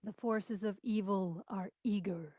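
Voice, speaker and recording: An evil fantasy queen, acted by a woman who speaks slowly and grandly. She is disdainful and commanding, a villain ruling over her minions. The sound is slightly dull and dry. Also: mid-range voice, dark tone, breathy edge, dry recording. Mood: cold, scornful. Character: villainous evil orc queen, imperious and contemptuous. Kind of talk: fantasy comedy table read.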